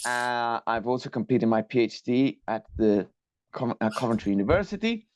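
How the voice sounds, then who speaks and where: A man from Colombia, giving a measured, polite academic lecture over a video call. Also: medium pitch, animated, darkish tone. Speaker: guest lecturer, structural engineer. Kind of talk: online lecture presentation. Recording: dull, dry video call audio.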